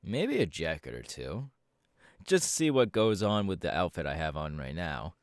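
A man voicing a laid-back, cocky young winged superhero in a casual ASMR audio roleplay, talking slangily with hesitant pauses. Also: low voice, sing-song, no echo, studio quality. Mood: musing, easygoing.

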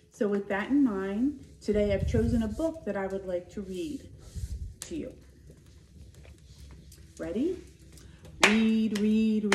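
A middle-aged woman reading a children's picture book aloud in an expressive, deliberate way, stressing key words. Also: on the high side, noisy background. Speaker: school nurse reading to children. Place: indoor living room by a fireplace. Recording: echoey living room.

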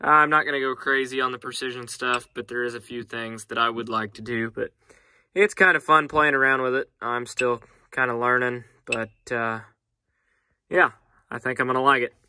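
A young man, a farmer vlogger, talking casually about his tractor cab setup while holding his phone, relaxed and chatty with many pauses. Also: medium pitch, clean tone. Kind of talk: casual vlog walkthrough. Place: farm shop and tractor cab. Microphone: handheld phone at arm's length.

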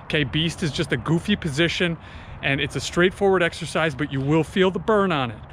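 A man's voice: fairly high pitch, brisk, a bit bright, slight background noise. A man in his thirties coaching a home workout outdoors on a driveway, explaining the exercises and counting reps into a camera mic. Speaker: fitness instructor. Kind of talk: workout instruction. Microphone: camera mic, often at a distance.

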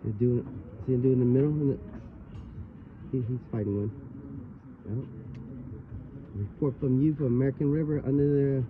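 A man's voice: mid-range voice, steady tone, normal speed, pauses often. A man hosting a fishing channel, chatting casually outdoors by a river into a handheld phone, with dropped word endings in his speech. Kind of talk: casual outdoor vlog chat. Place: outdoors at a river, on and near a small boat. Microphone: handheld phone near arm's length. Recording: muffled phone-like audio, outdoor boat noise.

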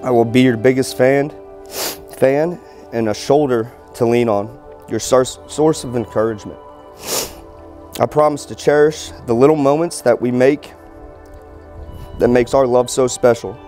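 Groom, male, 30s, mellow delivery of wedding vows, earnest and stumbling, light music behind. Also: medium pitch. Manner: halting, earnest, stumbles over words. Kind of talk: reading personal wedding vows aloud. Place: outdoor wedding ceremony in a field. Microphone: handheld microphone held nearby by officiant.

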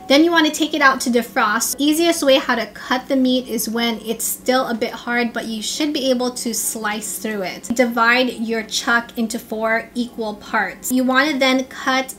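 A woman's voice: a little high, fairly even intonation, moderate pace, few pauses, a bit bright, slight background noise. A young woman hosting a cooking video from her home kitchen, explaining each step to her viewers in an upbeat, instructive way. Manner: upbeat, instructive, addresses viewers as you guys. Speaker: cooking vlogger. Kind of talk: cooking tutorial narration.

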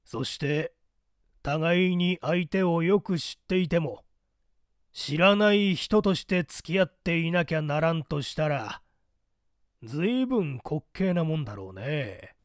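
A man's voice: on the high side, expressive, measured pace, no room sound, clean studio sound, pitched above the performer's own voice. A man voicing a reflective member of a secret society in an audiobook, speaking in a conversational way. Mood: musing. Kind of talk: audiobook character acting.

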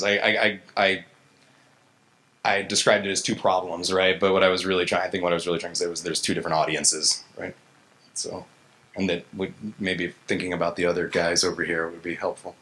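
A man in his thirties gives a casual tech talk into a stage microphone. His voice sits fairly low but has a bright, forceful edge. He talks in a halting, conversational way, restarting often. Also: poor audio. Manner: halting, conversational, frequent "like" and "right?". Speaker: conference speaker, software engineer. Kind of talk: informal lightning talk, answering questions. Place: gallery event space, indoors, on stage. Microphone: handheld-style vocal mic on a stand.

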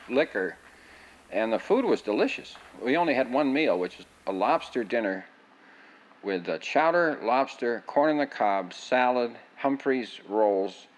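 An older man reminiscing in an oral history interview, rambling, with frequent restarts. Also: medium pitch. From United States. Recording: dull lo-fi sound.

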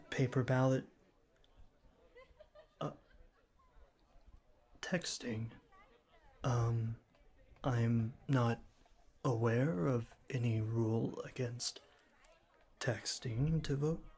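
A man playing a shy, earnest young college student with a cool front, halting and formal, in a scripted ASMR roleplay. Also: medium pitch, darkish tone. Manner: halting, stammering, formal wordy phrasing.

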